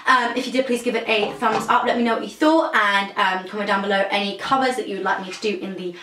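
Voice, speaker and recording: A woman's voice: mid-range voice, fairly even intonation, quick pace, bright, forceful tone, reverberant, a little hiss or hum. A young British woman chatting casually to camera at home, rambling and poking fun at herself. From Britain. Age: young adult. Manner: chatty, rambling, self-mocking. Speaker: singer and vlogger. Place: small room indoors at home.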